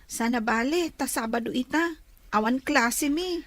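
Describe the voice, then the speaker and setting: High-voiced meek teen girl. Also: a little slow, dry recording. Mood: friendly. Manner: soft, pleading, often tearful. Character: gentle teenage granddaughter, meek and hurt. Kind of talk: radio drama acting.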